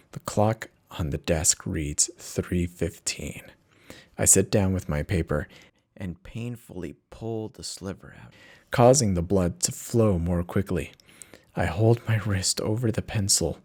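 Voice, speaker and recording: A man giving a theatrical, expressive dramatic reading of a first-person horror story, with dramatic pauses. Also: low voice, breathy edge. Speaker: narrator of horror stories.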